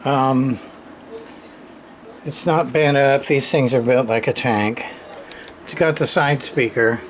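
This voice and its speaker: A man giving a casual hands-on repair demonstration, muttering to himself in halting short phrases with plain, folksy, mildly salty wording. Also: mid-range voice, steady tone. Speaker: radio repairer, channel host. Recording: clearly noisy room recording, background ambience.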